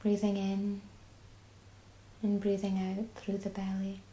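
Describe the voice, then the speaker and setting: A woman with a Scottish accent guiding a body scan meditation, speaking slowly and soothingly. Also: mid-range voice, monotone, reverberant, low-quality recording. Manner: slow, soft and soothing. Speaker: mindfulness guide.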